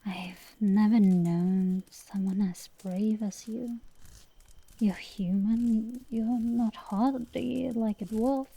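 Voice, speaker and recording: A woman playing a brash but secretly tender dragon girl sorceress in an intimate ASMR roleplay, soft and sometimes whispering, teasing and affectionate, close to the mic. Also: medium pitch, fairly even intonation, takes it slowly, exaggerated voice. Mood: tender, sincere. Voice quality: soft, breathy whisper at times.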